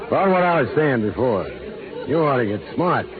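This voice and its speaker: A sly, threatening cowhand played by a man speaks in an insinuating, folksy way with lively intonation. It is a narrow-band 1930s radio western, with crowd sound behind him. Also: mid-range voice, normal speed, natural voice. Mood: sly, persuasive. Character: sly, menacing cowhand villain, tempting and threatening.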